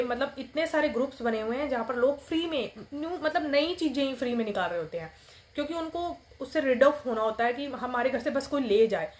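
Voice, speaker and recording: A woman in her thirties vlogging casual advice in a small room, talking through numbered points with many fillers. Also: high voice. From India. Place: small indoor room with plain wall.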